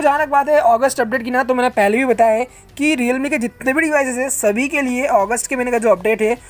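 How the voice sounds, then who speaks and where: A man answers viewer questions in a casual, informal vlog style, addressing listeners like friends. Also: extremely high pitch.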